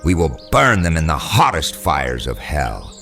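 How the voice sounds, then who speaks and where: An actor playing a ruthless, vengeful leader of medieval soldiers in an audio drama, menacing and commanding. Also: male voice, a bass voice, big pitch swings, a little slow, gravelly, bright, forceful tone, soft background music, full character voice.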